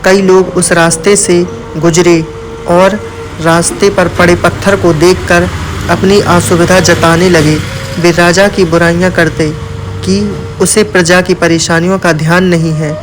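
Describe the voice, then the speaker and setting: A man's voice: high voice, flat delivery, unhurried, noisy background. A man narrating a scripted moral tale as a storyteller.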